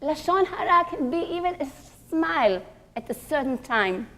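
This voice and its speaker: A woman's voice: sits high, very animated, normal speed, a bit bright. A woman teaching a religious class to women in an earnest, didactic way, often posing rhetorical questions and stressing her points. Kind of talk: religious lecture to a group of women.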